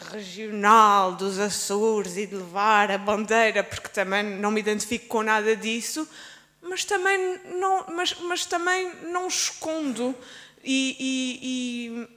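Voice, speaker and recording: High-voiced Azorean woman in a reverberant hall. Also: a little slow, pushed and bright. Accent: Azorean Portuguese. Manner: hesitant, restarts words. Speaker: panelist, stage performer. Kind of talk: panel discussion. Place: public event space.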